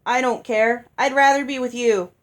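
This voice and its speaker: A woman voicing a clingy, stubborn girl in a storybook, pleading loudly and plainly. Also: a little high, fairly even intonation, moderate pace, lightly acted. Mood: insistent, clingy. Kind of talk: audiobook character voice.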